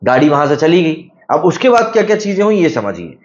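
A man's voice: on the high side, sing-song, a little fast. A man in his thirties hosting a news commentary, telling the story emphatically with rhetorical asides, on a lapel mic. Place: room with brick-pattern wall. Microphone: clip-on lapel mic. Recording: roomy, slightly dull sound.

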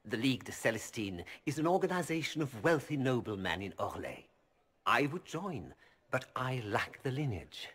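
Scheming merchant, husky, ingratiating, game voice. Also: male voice, mid-range voice, sing-song, moderate pace, a bit bright. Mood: smug, ingratiating. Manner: smooth, ingratiating, formal. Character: scheming male merchant, oily and polite. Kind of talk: video game voice acting.

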